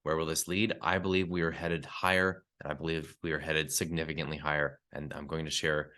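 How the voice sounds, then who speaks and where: A man hosting a solo market update podcast, talking through prices and charts in a steady analytical way with some fillers, his voice congested from a cold. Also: rumbling low, fairly quick. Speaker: podcast host and newsletter publisher.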